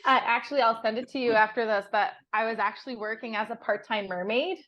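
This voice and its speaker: A woman's voice: a little high, fairly even intonation, quick pace, no echo, dull audio. A young woman whose first language is French, chatting in a casual interview over a video call, often laughing and restarting words. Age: young adult. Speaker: guest. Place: on a sailboat.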